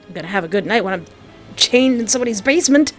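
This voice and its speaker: A woman's voice: medium pitch, expressive, fast talker. A woman gaming host reading a visual novel aloud and reacting with playful, folksy exclamations. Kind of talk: animated let's play commentary with dramatic read-aloud. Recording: clear background music. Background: music.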